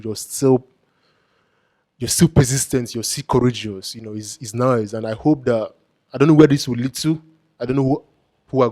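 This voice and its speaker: A young man hosting an interview, speaking in a halting, grateful way with many fillers. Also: medium pitch, darkish tone. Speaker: host. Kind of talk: conversational interview.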